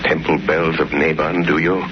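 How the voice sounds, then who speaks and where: A man playing an invisible, sardonic crime-fighting avenger in 1930s radio drama, deliberate, mocking and menacing. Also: very deep voice, level tone. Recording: dull, hissy 1930s radio recording.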